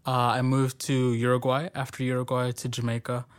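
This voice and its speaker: A young man in his twenties, co-hosting a college podcast in a relaxed, friendly way with casual fillers. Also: mid-range voice, a little fast, smooth. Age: young adult. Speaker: podcast co-host.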